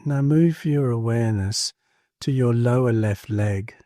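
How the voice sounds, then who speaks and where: A man leading a guided meditation in a calm, soothing manner, leaving space between short phrases. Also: mid-range voice, unhurried, no room sound, studio quality. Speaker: meditation teacher. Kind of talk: guided body scan meditation.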